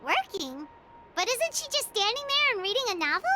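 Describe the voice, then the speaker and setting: A woman's voice: extremely high pitch, sing-song, normal speed, heavy background noise. A woman voicing a tiny, chirpy fairy companion who talks about herself in the third person, in a video game. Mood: curious. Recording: game music behind.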